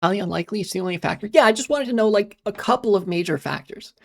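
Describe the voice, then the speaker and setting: A woman hosting a casual livestream, her voice slightly raspy and strained from long talking, speaking in halting bursts with many fillers and restarts. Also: mid-range voice. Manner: halting, many fillers and restarts, talks fast then slows. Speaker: livestream host. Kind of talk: casual livestream chat, reading chat and rambling on.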